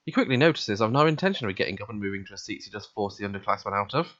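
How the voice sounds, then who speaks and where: A man narrates a visual novel in the first person. His mid-range voice moves around expressively in pitch. The recording is dry and slightly muffled. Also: animated, rapid-fire, fairly bright tone, no echo. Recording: dull, muffled home recording.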